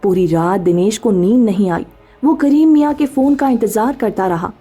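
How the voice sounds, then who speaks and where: A woman's voice: medium pitch, normal speed, warm tone, husky, faint music behind. A woman narrating a scripted story as the narrator.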